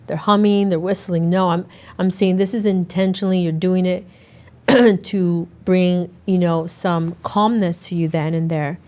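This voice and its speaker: A middle-aged woman hosting her own podcast, her voice raspy and hoarse from a recent illness, talking earnestly and conversationally and often restarting her sentences. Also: fairly low pitch, steady tone, unhurried, a little mellow. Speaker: podcast host and naturopathic doctor. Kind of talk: casual solo podcast talk.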